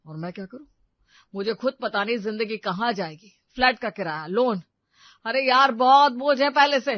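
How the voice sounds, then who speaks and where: A woman's voice: on the high side, animated, normal speed, no echo, voice pushed up, natural voice. A woman voicing a tired husband and father who complains bitterly about his burdens, in a storybook. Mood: exasperated. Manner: exasperated, complaining. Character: weary, overburdened husband and father. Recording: dull dry narration track.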